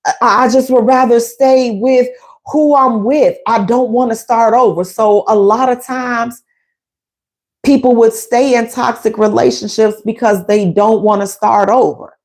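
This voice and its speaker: A woman's voice: a little high, fairly even intonation, measured pace, a little mellow. A woman, hosting a casual relationship advice talk, emphatic and conversational, repeating her points.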